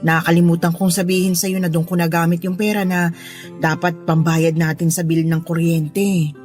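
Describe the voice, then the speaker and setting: Casting: actress for gentle, absent-minded mom in middle age, lowish voice, Tagalog radio drama. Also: female voice, little pitch movement, moderate pace, faint music behind, natural voice. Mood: apologetic. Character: forgetful middle-aged mother, gentle and apologetic.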